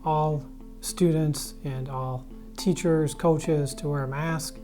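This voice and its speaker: A man in his fifties, a high school principal, speaking in a measured, formal way in a documentary interview. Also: fairly even intonation. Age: 50s. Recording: guitar music playing clearly under the voice.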